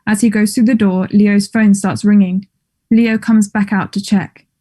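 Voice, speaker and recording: A woman reading stage directions as scripted narration in a measured, neutral manner. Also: medium pitch, little pitch movement, mellow.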